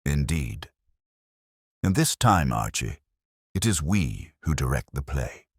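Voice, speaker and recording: A man's voice: rumbling low, very animated, slightly rough, no room sound, studio quality, full character voice. A man voicing an imperious, cerebral detective in a storybook, speaking formally and pompously. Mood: coolly confident. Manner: pompous, measured, formal wording.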